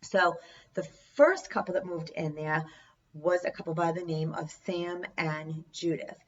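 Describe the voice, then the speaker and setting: A hoarse, middle-aged woman hosts her channel and recounts a true ghost story, earnest and chatty. Her voice sits low and she takes her time. She sounds a little far from the mic, and the sound is dull. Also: unhurried. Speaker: channel host. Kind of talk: storytelling vlog.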